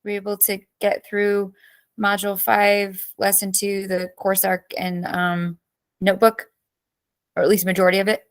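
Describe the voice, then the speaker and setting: A woman teacher in her thirties runs a live online review session, her voice cracking and going hoarse. She chats easily, greets students one by one and answers their messages as they come in. Her pitch sits in a middle range for a woman. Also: monotone, moderate pace. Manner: chatty, greets students by name and reacts to chat.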